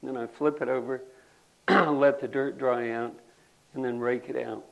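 An older man, telling folksy rambling stories to a gathering and clearing his throat now and then. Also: medium pitch, fairly even intonation, measured pace, lo-fi sound. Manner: folksy, drawling, says y'all. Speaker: storyteller at a community gathering.

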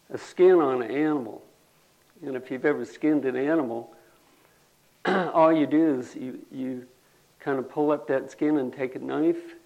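An older man, telling folksy rambling stories to a gathering and clearing his throat now and then. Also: mid-range voice, highs cut off. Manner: folksy, drawling, says y'all. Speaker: storyteller at a community gathering.

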